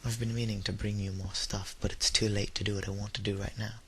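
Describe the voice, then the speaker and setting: A man's voice: on the low side, flat delivery, fast, sharp tone. A tired, slightly unwell young man rambling casually in a solo audio log, with dry, self-deprecating humour. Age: teenager or young adult, high-school student. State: tired, under the weather. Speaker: YouTuber giving an audio log. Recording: noisy home recording with background hiss.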